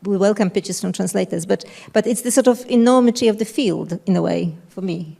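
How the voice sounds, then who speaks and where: A woman editor speaks on a live panel, hesitant and often trailing off mid-thought. Her voice is warm and dark-toned, with a noticeable gravelly fry. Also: medium pitch, a little fast. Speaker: independent publisher's editor.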